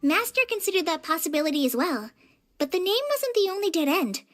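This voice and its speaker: A woman's voice: very high voice, very animated, fairly quick. A woman voicing an earnest, polite, slightly shy teenage girl apprentice in a video game, with an eager manner. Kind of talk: game voice acting.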